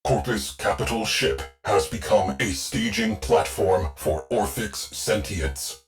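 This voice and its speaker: A man playing a terse, stoic military ship AI in a video game, giving mission briefings in a clipped, deadpan, commanding way. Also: on the low side, slow pace, soft background music. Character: terse, stoic ship AI, male military tactician with dry wit.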